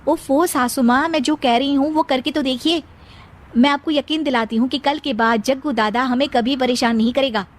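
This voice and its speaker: Woman as an anxious, high-voiced young daughter-in-law. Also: steady tone, normal speed, natural voice. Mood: eager, persuading. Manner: pleading, exclaiming. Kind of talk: moral story character voice.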